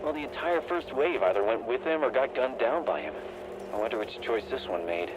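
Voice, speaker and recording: An actor playing a firm, gritty military dispatcher in a game audio log, talking bluntly over the radio. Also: male voice, medium pitch, lively intonation, fairly quick. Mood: wry, irritated. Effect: radio transmission. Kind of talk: dramatic acting in a video game audio log. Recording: muffled, noisy radio-filtered sound.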